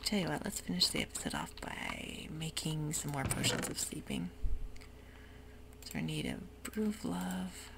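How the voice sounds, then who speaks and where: A woman whispering soft, hushed ASMR narration over gameplay at home, with frequent ums, as keyboard clicks sound behind her. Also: deep voice, wildly swooping pitch, moderate pace, vocal fry, background noise. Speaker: ASMR gaming creator.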